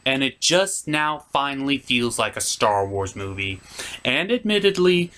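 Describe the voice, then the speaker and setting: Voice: medium pitch, fairly bright tone. A reviewer delivering a scripted comedic review in a sardonic, emphatic way, as the host of the show.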